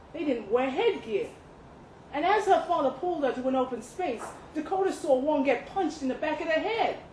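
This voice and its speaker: A woman reading a literary short story aloud at a live event, with expressive, deliberate phrasing and dramatic pauses. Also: high voice. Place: indoor live reading event at a pub venue. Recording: roomy live venue, some background noise.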